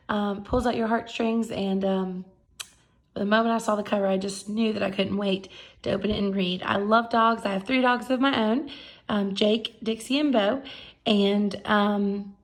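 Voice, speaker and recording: A woman reading a picture book aloud as a bedtime story, expressively and with affectionate, chatty asides. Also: medium pitch.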